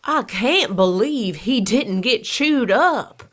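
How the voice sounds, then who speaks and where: Woman playing unhurried, bemused fire chief. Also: fairly high pitch, expressive, fairly bright tone, heavily acted. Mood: matter-of-fact. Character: bemused, deadpan female fire chief on duty. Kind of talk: audio drama acting.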